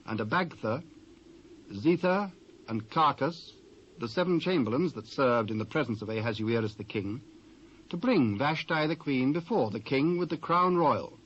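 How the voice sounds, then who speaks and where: A British man narrating scripture in a measured, solemn storytelling manner. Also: fairly high pitch. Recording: dull old cassette transfer.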